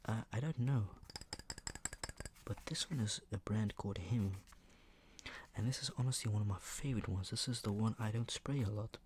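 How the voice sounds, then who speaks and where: A man speaking in a hushed murmur that slips into whispering, with a sore throat, casually showing items for ASMR. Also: lowish voice, moderate pace, a little hiss or hum. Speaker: ASMR video creator. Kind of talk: ASMR, soft casual product show-and-tell.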